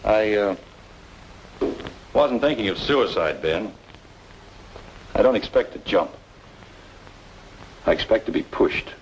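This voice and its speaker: An actor playing a weary, suspicious man in an old radio noir drama, speaking in a measured, sardonic way. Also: fairly low pitch, lively intonation, highs cut off, slight background noise. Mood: bitter, sardonic. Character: weary, suspicious man hunting the truth, quietly bitter.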